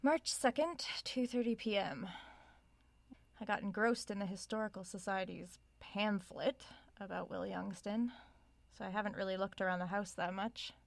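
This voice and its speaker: An actress playing a curious, self-aware researcher in an audio drama, recording a spoken log in a conversational, measured way. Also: female voice, medium pitch, gravelly, dry recording, lightly acted. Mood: musing, casual. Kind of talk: audio drama log, reading aloud and musing.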